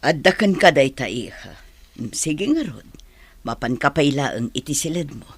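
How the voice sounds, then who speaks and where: An actress with a Filipino accent playing a firm, caring middle-aged mother in a radio drama, in a husky voice. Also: low-pitched, very animated, measured pace, no echo, exaggerated voice. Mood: earnest, motherly.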